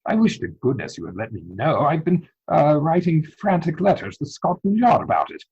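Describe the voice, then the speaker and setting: Dull lo-fi video-call audio of a man acting an earnest, easily flustered young gentleman. He speaks formally in a fairly high, husky voice, as in a mannered period stage comedy. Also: big pitch swings, moderate pace, exaggerated voice. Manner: formal, mannered, indignant delivery.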